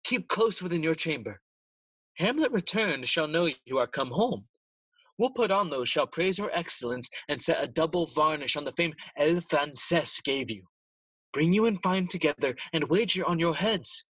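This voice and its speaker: Sly murderous king, kinda high husky voice, theatrical shakespeare radio play. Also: expressive, a bit bright, no echo, low-quality recording. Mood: goading, conspiratorial. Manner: theatrical, persuasive, deliberate. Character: scheming, smooth-talking king plotting murder. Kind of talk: bilingual Shakespearean audio play acting.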